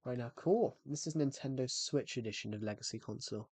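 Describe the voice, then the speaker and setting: A man rambles through a relaxed game livestream at an ordinary mid pitch, circling back over the same phrases. His voice sits close and dry on a streaming mic. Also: a little fast, slightly rough, no room sound. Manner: rambling, repeats and restarts phrases. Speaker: gaming streamer. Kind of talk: casual livestream chat while playing.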